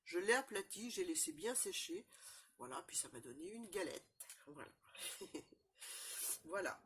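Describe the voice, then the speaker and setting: A woman with a cold, chatting casually through a crafting demonstration, rambling and filling pauses often. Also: lowish voice, lively intonation, a bit bright, husky. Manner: chatty, rambling, constant fillers and "voilà". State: has a cold, stuffy. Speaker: crafting channel host. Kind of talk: casual show-and-tell crafting demo.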